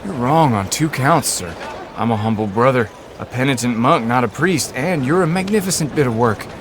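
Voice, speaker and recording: A man acting a gentle monk amid clear noise. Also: medium pitch, expressive, normal speed, close to an everyday voice. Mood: kindly, persuasive. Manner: gentle, courteous, hesitant. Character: humble, kindly, earnest monk collecting for the poor. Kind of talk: video game cutscene acting. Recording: noisy street ambience behind dialogue.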